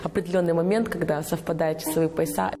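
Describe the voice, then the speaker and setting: A young woman from Kazakhstan speaks in a slightly low voice during a personal documentary interview. She sounds earnest and reflective and hesitates briefly at times. Music plays clearly behind her. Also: fairly even intonation, fast talker. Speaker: interviewee, head of a volunteer foundation.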